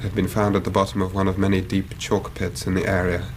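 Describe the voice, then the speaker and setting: A man's voice: low voice, monotone, quick pace, a little hiss or hum, natural voice. An actor playing an anxious, earnest young Victorian man in a radio drama, speaking in formal, precise period language. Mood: earnest, grave. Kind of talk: radio drama acting, narrating his own story.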